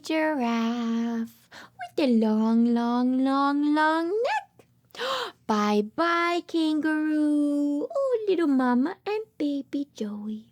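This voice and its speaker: A woman reading aloud to babies and toddlers in an exaggerated child-directed way, with simple repeated phrases and questions. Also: high voice. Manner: exaggerated child-directed delivery with dramatic gasps and whispers. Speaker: children's read-aloud host.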